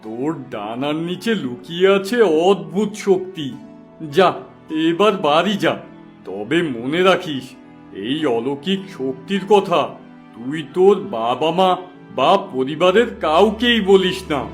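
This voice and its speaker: A man's voice: fairly high pitch, expressive, measured pace, soft background music. A man playing a stern, commanding figure in a cartoon fairy tale, speaking emphatically. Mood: solemn, instructing. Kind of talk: animated fairy tale character acting.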